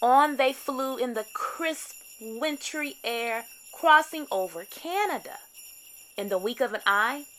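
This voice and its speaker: A woman narrating a children's storybook in an expressive read-aloud style with deliberate phrasing. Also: high voice, a little hiss or hum.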